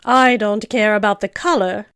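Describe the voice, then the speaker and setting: A woman voicing a haughty, bossy tiger lily in a storybook, scolding with slow emphasis. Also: medium pitch, steady tone, a bit bright, clear, resonant tone, no echo, clean studio sound, pitched above the performer's own voice, close to an everyday voice. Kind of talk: storybook character voice.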